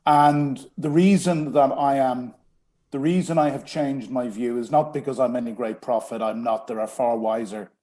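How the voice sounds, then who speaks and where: A man's voice: mid-range voice, fairly even intonation, normal speed, dark tone. A man with a Dublin Irish accent, a guest on a radio interview, talking earnestly with many hesitations and restarts. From Ireland. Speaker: guest, former strategic communications advisor.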